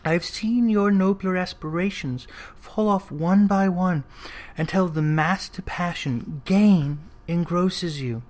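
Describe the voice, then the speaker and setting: A narrator voicing a gentle, dignified young woman who speaks with earnest sorrow and resolve in an old storybook drama. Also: mid-range voice, slow, pitched above the performer's own voice, natural voice. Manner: earnest, soft-spoken, dignified.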